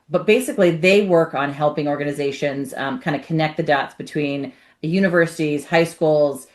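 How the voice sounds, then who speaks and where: A woman hosting a podcast in casual chat, talking animatedly and often checking in with "right?". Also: low-pitched. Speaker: podcast host.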